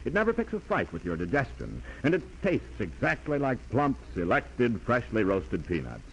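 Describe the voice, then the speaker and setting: A male pitchman from 1940s radio. His mid-pitched voice moves in lively swings through scripted advertising copy. The old recording carries faint noise. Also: moderate pace, creaky, low-quality recording. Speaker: commercial pitchman.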